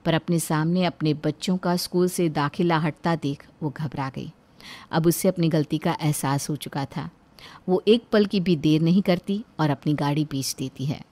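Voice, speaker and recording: A woman's voice: deep voice, moderate pace, warm tone. A woman reading scripted narration for a moral story as its storyteller.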